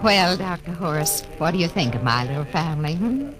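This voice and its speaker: In an old radio play, a woman plays a doting mother who fusses over her guests. Her voice sits fairly low, and music plays clearly behind her lines. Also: lively intonation, a little fast, natural voice. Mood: motherly. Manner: fussing, motherly. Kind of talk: 1950s radio drama acting.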